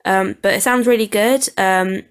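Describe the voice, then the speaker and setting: A young woman with a medium-pitched voice talks through feedback on an audio project. She is informal and halting, thinking aloud as she goes. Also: steady tone, clean tone. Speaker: student producer reviewing an audio edit. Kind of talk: informal video commentary.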